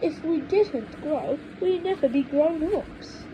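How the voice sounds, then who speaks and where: A woman acts out a young storybook boy of eight, high and animated in pitch. He is polite but doubtful, protesting in an easily dismayed way over faint background noise. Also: slow, muffled recording. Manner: pleading, protesting. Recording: noisy low-quality home microphone recording.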